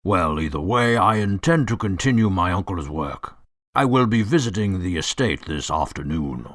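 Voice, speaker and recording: An actor playing a polite but determined gentleman heir in an audio drama, courteous and firm. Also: low-pitched, lively intonation, normal speed, no echo, studio quality. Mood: resolute.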